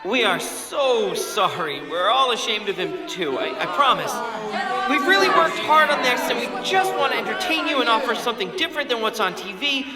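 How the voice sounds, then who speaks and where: A man's voice: very high-pitched, big pitch swings, moderate pace, over loud music. A man playing an earnest, embarrassed young co-presenter with a pleading, apologetic manner. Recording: echoey hall, loud crowd and noise.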